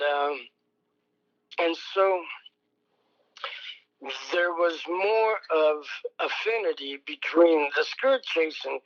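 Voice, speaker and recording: Older songwriter guest, unhurried and meandering, somewhat high-pitched, dull recording. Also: male voice. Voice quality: fairly high voice. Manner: slow, rambling, many ums and pauses. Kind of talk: reflective oral history interview.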